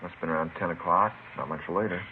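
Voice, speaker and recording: An actor playing an upset, shaken working-class husband answering police questions in 1950s radio crime drama style. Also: male voice, low voice, steady tone, fast, lightly acted. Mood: shaken, subdued. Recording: muffled, noisy vintage radio recording.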